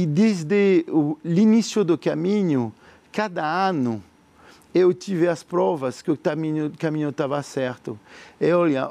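A man with a French accent speaks Portuguese as a second language, earnest and visionary in his answers. His voice sits a bit high for a man and has a soft, mellow tone. He stumbles often, filling gaps and repeating small words as he builds his point. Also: gravelly, dry recording. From France. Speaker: entrepreneur. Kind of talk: interview answers.

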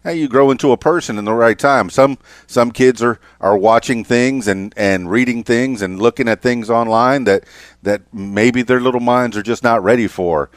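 A man in his fifties hosting an interview, speaking haltingly with many repeated words and restarts. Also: medium pitch, no room sound, clean studio sound. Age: 50s. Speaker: podcast host. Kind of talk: interview conversation.

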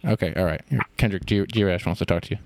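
A man co-hosting a comedy podcast, talking in a deadpan, rambling way full of fillers. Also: low voice, fast talker. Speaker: podcast co-host. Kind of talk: improvised comedy banter.

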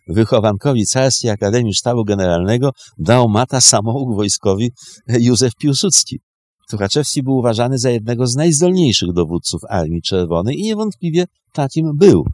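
Halting man, dry close recording. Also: medium pitch, animated, a little mellow, no room sound. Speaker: historian guest. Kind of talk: spontaneous historical commentary.

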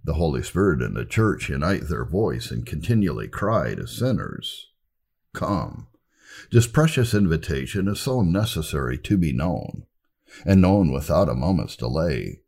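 A man narrating a scripted history audiobook in a formal, measured, oratorical way. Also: a bass voice, sing-song, creaky, darkish tone, slightly rough. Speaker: narrator reading church history.

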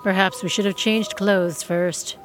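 A woman's voice: medium pitch, fairly even intonation, measured pace, soft background music, close to an everyday voice. A woman playing a weary, sardonic witch leader in an audio comedy, speaking in a dry, exasperated, theatrical way.

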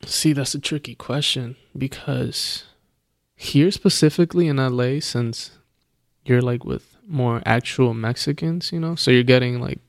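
A man's voice: mid-range voice, measured pace, vocal fry, no room sound, studio quality. A man chatting casually as a podcast co-host, laid-back and slangy, laughing often. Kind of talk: casual chat. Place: Los Angeles.

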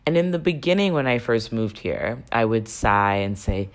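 A woman hosting her own podcast, talking solo in a chatty way with a slightly raspy voice and posing questions to her listeners. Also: a bass voice, animated, moderate pace. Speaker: podcast host.

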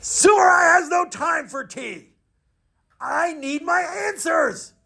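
A storyteller acting out a high, shouting hot-tempered samurai. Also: slow, creaky, exaggerated voice. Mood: impatient. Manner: shouting, emphatic, indignant. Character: hot-tempered proud male samurai warrior, boastful and furious.

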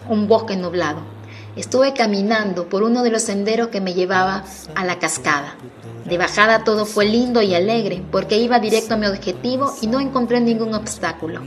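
A young woman from Honduras, reading a personal travel story in a steady, reflective way. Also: mid-range voice.

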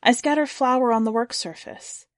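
A woman hosting a fiction podcast, introducing and then reading a short story in a measured, clear storytelling delivery. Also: on the high side, no echo, clean studio sound. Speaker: podcast host and story reader.

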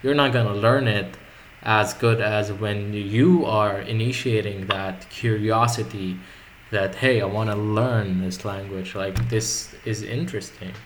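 A man's voice: lowish voice, steady tone, a little slow, slight background noise, low-quality recording. A man whose first language is Arabic, talking in a rambling, reflective way with many restarts in a casual conversation.

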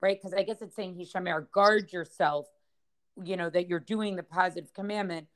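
A woman's voice: lowish voice, fairly even intonation, normal speed. A woman teaching a study group through a text, thinking aloud with frequent checks and restarts. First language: English. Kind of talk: explaining a text passage.

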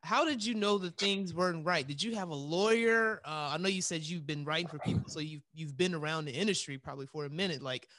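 A man's voice: a little high, brisk, hardly any pauses, bright, forceful tone, dry recording. A man co-hosting, asking questions in a casual interview.

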